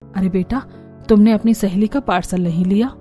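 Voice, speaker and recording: A woman's voice: mid-range voice, steady tone, normal speed, warm tone, soft background music, close to an everyday voice. A woman voicing a strict, suspicious middle-aged mother-in-law in a cartoon family story, questioning and scolding. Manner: scolding, interrogating.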